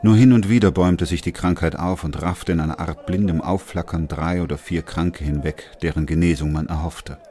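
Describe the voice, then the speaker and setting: An actor playing a composed, middle-aged doctor who narrates a plague chronicle in a radio drama, calm and sober. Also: male voice, sits low, brisk, few pauses, close to an everyday voice. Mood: sober narration.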